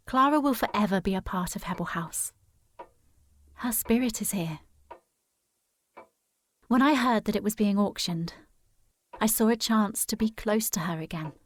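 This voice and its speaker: A woman's voice: medium pitch, lightly acted. An actress playing a resolute, well-bred young woman in a period audio drama, with a formal, poised and earnest manner. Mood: quietly resolved.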